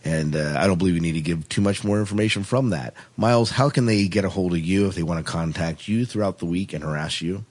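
Guy hosting a podcast, deep kinda rough voice, chatting casually, repeats himself a bit. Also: quick pace, few pauses, darkish tone. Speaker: podcast host.

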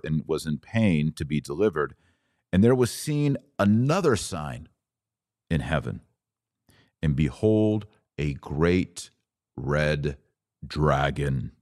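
Low-pitched guy doing a religious podcast, earnest and emphatic, really dry close sound. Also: no echo. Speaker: podcast host and author. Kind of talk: religious commentary podcast, explaining and reading scripture aloud.

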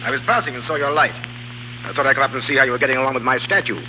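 An actor playing a suave, measured, courteous aristocratic baron in a 1930s radio drama. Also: male voice, mid-range voice, steady tone, close to an everyday voice. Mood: suave, appraising. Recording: noisy, muffled 1930s radio recording.

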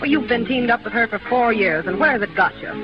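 An actor playing a disapproving burlesque colleague who gives blunt advice in American slang, in a 1930s radio drama style. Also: male voice, extremely high pitch, expressive, a little fast. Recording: muffled 1930s radio broadcast with music.

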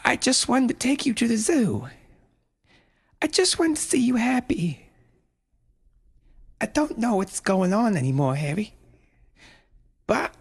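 A man doing a frantic, stammering mother in falsetto. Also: gravelly, slightly rough, heavily acted. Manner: breathless, stammering, pleading. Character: frantic, pleading young mother.

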